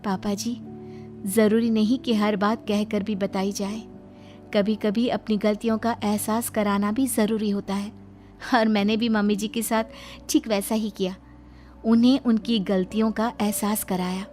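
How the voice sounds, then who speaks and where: A woman's voice: mid-range voice, flat delivery, measured pace, a little mellow, faint music behind, natural voice. A woman voicing a sweet, innocent yet shrewd young daughter-in-law in a family moral tale, polite and earnest. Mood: earnest, reassuring.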